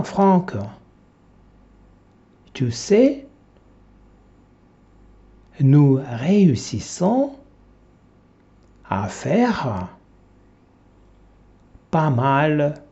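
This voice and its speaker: A male language teacher reads a textbook dialogue aloud in short, separate phrases, leaving long pauses for students to repeat. His delivery is very slow, with an animated, sing-song pitch. The recording sounds dull and lo-fi. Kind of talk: slow dictation-style reading for repetition.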